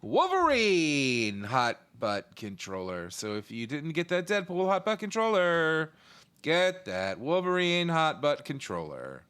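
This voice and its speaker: A man's voice: fairly high pitch, wildly swooping pitch, measured pace, a bit bright, clear, resonant tone. A man co-hosting a gaming podcast, joking around with a dry, sarcastic edge. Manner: jokey, sarcastic. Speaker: podcast co-host. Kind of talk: casual chat.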